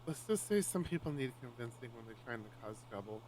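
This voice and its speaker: A man voicing a weary, guarded young male otter who talks low, quiet and clipped. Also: animated, fast talker, far from the mic, slight background noise, lightly acted, rough recording. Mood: tired, evasive.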